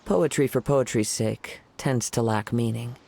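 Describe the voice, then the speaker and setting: An actor voicing a serene young wandering poet in a video game, soft and breathy, with measured, formal phrasing. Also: male voice, medium pitch, soft background music. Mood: whimsical, calm. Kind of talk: video game story dialogue.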